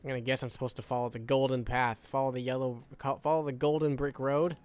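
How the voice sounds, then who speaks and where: A man's voice: mid-range voice, flat delivery, quick pace, a little mellow, clear, resonant tone. A man giving casual, rambling let's-play commentary, sounding tired with a worn voice, repeating phrases to himself. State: tired, voice wearing out. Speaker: gaming video creator.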